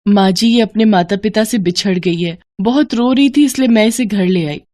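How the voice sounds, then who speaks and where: A woman voicing a kind, pious young daughter-in-law, gentle and earnest, in a moral story. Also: medium pitch, moderate pace, voice pushed up, lightly acted. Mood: pleading.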